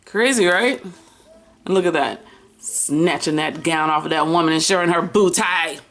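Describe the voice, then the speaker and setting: An American woman in her early fifties chatting casually about books in an expressive, slangy, playful and rambling way. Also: low-pitched, moderate pace. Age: early 50s. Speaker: book vlogger. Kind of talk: casual chatty book haul and review.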